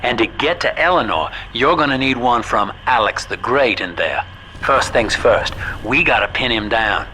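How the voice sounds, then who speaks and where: A man's voice: mid-range voice, lively intonation, moderate pace, pushed and bright, slight background noise, poor audio. An actor playing a folksy, fatherly, plain-spoken guide who gives advice to the hero of a video game. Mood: instructive. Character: folksy male ally and guide, paternal, plain-spoken, shrewd.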